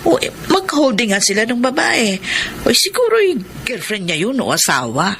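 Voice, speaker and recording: A woman's voice: a little high, wildly swooping pitch, moderate pace, a bit bright, full character voice. An actress with a Filipino accent playing a caring, devout middle-aged mother in a radio drama. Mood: curious. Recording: faint ambient noise.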